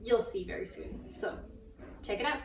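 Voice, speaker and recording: A woman with a fairly high voice co-hosts in a playful, casual way. She is a little distant from the mic in an echoey room with faint noise. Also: expressive, normal speed, gravelly. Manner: playful, reactive. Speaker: co-host. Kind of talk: casual banter.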